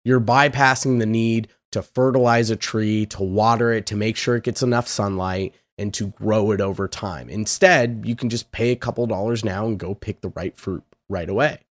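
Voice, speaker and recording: A young man hosting a casual podcast, his voice hoarse and raspy from yelling, talking loosely and energetically and swearing now and then. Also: on the low side, steady tone, normal speed, hardly any pauses, no room sound. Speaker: podcast host.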